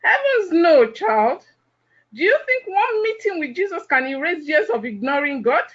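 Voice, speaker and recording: A woman's voice: very high voice, sing-song, normal speed, fairly bright tone, dull audio, exaggerated voice. A woman playing a haughty, judgmental gossip in a biblical audio play, condescending and full of disapproval. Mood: indignant.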